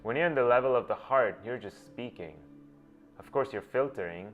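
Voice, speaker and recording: A man's voice: mid-range voice, animated, moderate pace. A man whose first language is Gujarati, hosting and thinking aloud in a reflective monologue, often restarting his phrases as he works through ideas. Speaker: host. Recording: dull lo-fi with faint music.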